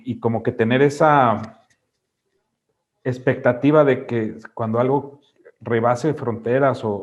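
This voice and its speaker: A man's voice: mid-range voice, a little mellow. A man with a Mexican Spanish accent introducing himself informally on a video call, in a hesitant, rambling way with many self-corrections. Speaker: class participant. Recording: dull lo-fi video call audio.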